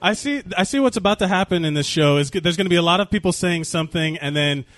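A man's voice: high voice, fast, fairly bright tone, dry recording. A man co-hosting a casual podcast, rambling and profane, full of fillers, restarts and trailing thoughts. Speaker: podcast co-host.

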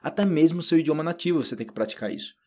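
A man from Brazil with a Brazilian Portuguese accent, giving a casual spoken lesson and slowly repeating phrases to demonstrate. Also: a little high, animated, darkish tone. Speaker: Portuguese teacher.

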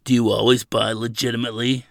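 A man voicing a huge male diplomat in an audiobook, measured and dry, with polite menace. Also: medium pitch, little pitch movement, vocal fry, a bit bright, no echo. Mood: coolly sceptical.

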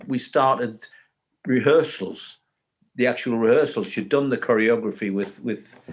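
A man, the show's writer, chatting fondly in a halting, rambling way. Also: medium pitch. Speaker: writer of the show. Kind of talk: casual reunion chat.

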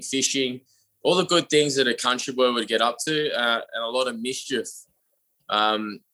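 A young Australian man, a podcast guest, telling his story in an upbeat, energetic way with frequent fillers. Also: medium pitch, low-quality recording. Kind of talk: casual interview talk.

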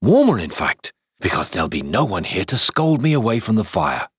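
A man's voice: lowish voice, big pitch swings, a little fast, husky, no echo, studio quality, exaggerated voice. A man reading the thoughts of a pleased, mischievous little girl in a storybook, with a gleeful manner.

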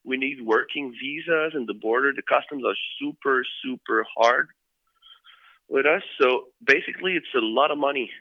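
A man's voice: mid-range voice, normal speed, no echo, narrow, tinny audio. French Canadian man on a call line. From Quebec, Canada. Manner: loose and rambling, many fillers and restarts. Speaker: band member guest. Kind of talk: casual interview.

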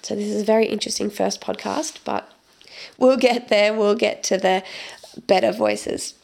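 A young woman talks through a solo podcast episode in a loose, rambling monologue full of ums. Her voice is heavily gravelly and croaky because she is getting over a cold. Her pitch sits in the middle range. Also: fairly even intonation, moderate pace, darkish tone. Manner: rambling, chatty, many ums. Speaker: podcast host.

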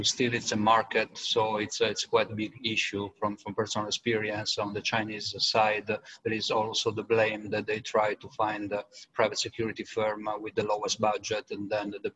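A man's voice: lowish voice, monotone, normal speed, sharp tone, poor audio. A man with an Italian accent moderates a panel webinar. He hesitates and repeats words as he reads out questions.

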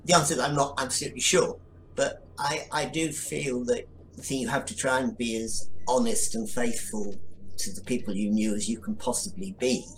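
Faint background noise sits behind a man with a mid-pitched voice. He answers as an interview guest, thoughtful and tentative, qualifying his points as he goes. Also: fairly even intonation, normal speed, poor audio. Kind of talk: thoughtful conversation.

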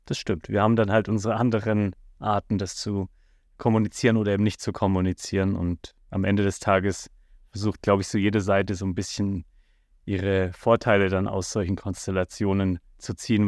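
A man talking calmly and at length on a casual podcast, giving advice. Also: fairly low pitch, no room sound, clean studio sound. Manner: calm, measured, explains at length with fillers. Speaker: podcast co-host.

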